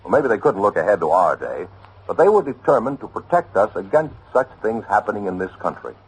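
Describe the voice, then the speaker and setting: A man's voice: fairly low pitch, big pitch swings, fairly quick, slightly rough, rough recording. A man reading a dramatic scripted public service message in vintage radio style, earnest and emphatic.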